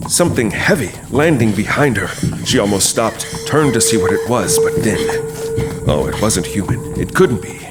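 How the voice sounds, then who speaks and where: A man's voice: lowish voice, sing-song, moderate pace, over loud music. A man delivering dramatic scripted narration for a horror story as its narrator. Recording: clear background noise, night sound effects.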